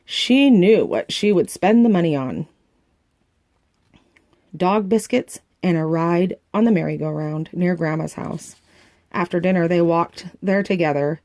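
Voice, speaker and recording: A woman reading a children's picture book aloud in the expressive manner of a grandmother reading to kids. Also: on the low side, unhurried, no echo, studio quality. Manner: expressive, acting out the dialogue.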